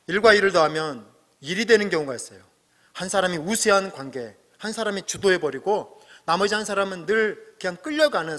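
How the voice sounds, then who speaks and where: A middle-aged man preaching a sermon as pastor, earnest, swelling to emphatic peaks and dropping to soft asides. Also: a little high. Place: church sanctuary. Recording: long hall reverb.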